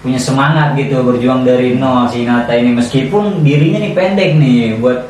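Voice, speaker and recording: A man's voice: medium pitch, moderate pace, darkish tone. A man co-hosting a casual, slangy podcast chat about his hobby. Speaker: podcast co-host. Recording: distant mic, heavy room echo, faint noise.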